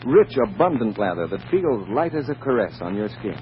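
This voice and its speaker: A polished male announcer sells in 1940s radio style. His pitch moves widely and persuasively. The old recording is muffled with some noise. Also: very animated, normal speed. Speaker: radio announcer reading sponsor copy.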